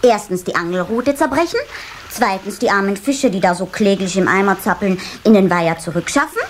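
A woman playing an eager, cheeky little water sprite boy in a children's radio play, excitable and wide-eyed. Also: medium pitch, a little fast, natural voice. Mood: cheeky, determined. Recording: faint hiss of old cassette.

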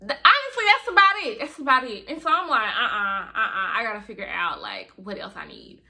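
A young woman vlogging to camera from her bedroom, chatty and expressive, with a slight lisp. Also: a little high, fairly quick. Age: young adult. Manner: chatty, expressive, lots of fillers. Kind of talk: casual chat to camera. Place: bedroom, indoors. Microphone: camera in front of her.

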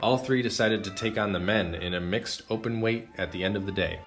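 A man giving scripted narration of a sports event in an even, matter-of-fact way. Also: on the low side, brisk. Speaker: video editor and narrator. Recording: voice-over with loud music beneath. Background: music.

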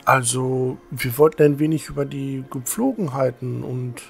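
A man's voice: medium pitch, normal speed, gravelly, faint music behind, close to an everyday voice. A man playing a polite, earnest young religious brother in a fantasy audio drama.

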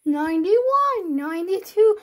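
An amateur fan performer with an extremely high, childlike voice does a playful homemade cartoon dub. The delivery is slow and unhurried, counting through numbers aloud. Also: very animated, a bit bright.

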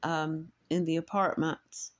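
A woman with an Appalachian accent reading a true crime story in a measured, slightly halting way, stopping to share her own thoughts. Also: low voice, level tone. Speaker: true crime podcast host.

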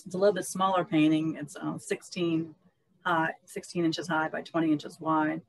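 A middle-aged woman describes her paintings in a casual way. Her voice has a marked fry to it, and she speaks as an artist on a video call. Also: low voice, little pitch movement, moderate pace, rough recording. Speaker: painter showing her work. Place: indoor studio room on a video call.

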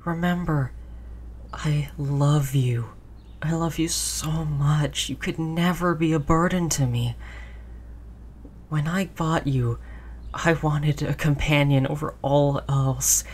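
A woman playing a gentle human who soothes their android companion in an intimate roleplay audio drama, tender and reassuring. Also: low voice, fairly even intonation, slow pace, slight background noise. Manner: soothing, tender, hushed, repeating reassurances softly.

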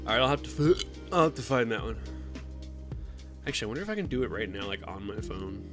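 A man talks casually on a live stream, reacting to chat and breaking into squeaky silly voices. His delivery swings widely in pitch. Soft music plays faintly underneath. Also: fast. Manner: animated, jumps into high silly voices.

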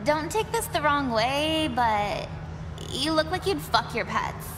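A woman's voice: high voice, expressive, slow pace, heavily acted. A woman playing a blunt, jaded young female mall worker in a visual novel game, sarcastic and exasperated. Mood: scornful. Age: young adult. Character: sardonic, jaded teenage-to-twenties mall worker girl. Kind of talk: voiced visual novel dialogue. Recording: clear background ambience noise.

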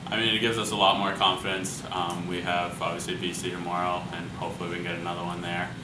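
A young man, a college hockey forward, calmly giving matter-of-fact post-game answers to reporters. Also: sits low, fast. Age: young adult. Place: press room with backdrop. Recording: echoey room with clear hum.

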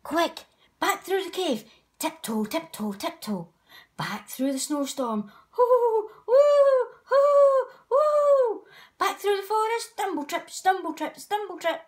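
A woman reading a children's picture book aloud in an expressive, theatrical way, drawing out words and chanting repeated phrases. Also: very high voice. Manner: theatrical, drawn-out delivery with chanted repetition and acted sound effects. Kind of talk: expressive read-aloud story narration for young children.

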